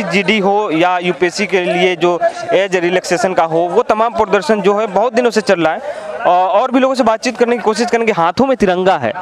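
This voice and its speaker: Man reporting from a crowded protest. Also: sits high. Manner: questioning, summarizing for viewers. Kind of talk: street reporting. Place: outdoor protest in the city. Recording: crowd noise around.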